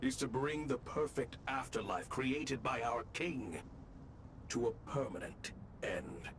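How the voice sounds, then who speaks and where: An actor playing a solemn, dutiful saint in a video game, speaking gravely and formally. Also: male voice, animated, slow, background noise. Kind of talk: theatrical video game acting. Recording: clear rumbling game sound behind.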